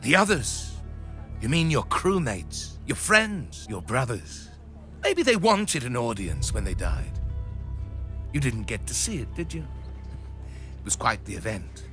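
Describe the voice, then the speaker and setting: A man's voice: medium pitch, bright, forceful tone, full character voice. A man playing a gloating, menacing villain in an audio drama, taunting and theatrical, drawing out his words. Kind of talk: musical audio drama acting.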